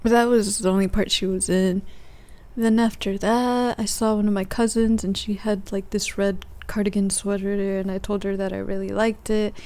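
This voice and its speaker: Soft spoken woman podcaster, mid pitch voice, just chatting casually about her dreams. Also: little pitch movement, moderate pace. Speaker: podcast host. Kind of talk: casual monologue recounting dreams.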